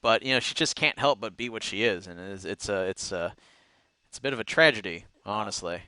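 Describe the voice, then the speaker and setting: A man co-hosting a casual chat, recapping episodes in an animated storytelling way. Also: lowish voice, brisk, clean tone, no echo, clean studio sound. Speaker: co-host reviewing cartoon episodes.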